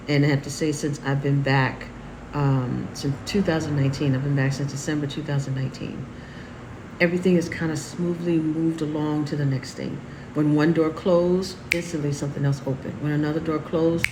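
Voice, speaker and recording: Middle-aged woman host, low voice, reflective casual monologue, air conditioner humming behind. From New York City and Houston. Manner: warm, repetitive, emphatic phrasing with laughs. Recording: noisy room with air conditioning hum.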